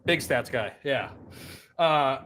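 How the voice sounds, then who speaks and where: A man's voice: mid-range voice, lively intonation, takes it slowly, noisy background. A man in his thirties hosting a casual podcast interview, rambling with many restarts and reading out player stats. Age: 30s. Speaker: podcast host.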